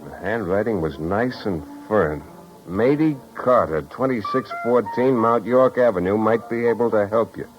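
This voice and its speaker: An actor playing a wry, tough private detective in clipped 1950s radio style. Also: male voice, lowish voice, normal speed, natural voice. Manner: wry, hard-boiled, clipped. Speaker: lead actor and narrator. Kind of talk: 1950s radio detective acting, first-person narration. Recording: dull 1950s radio recording, music behind.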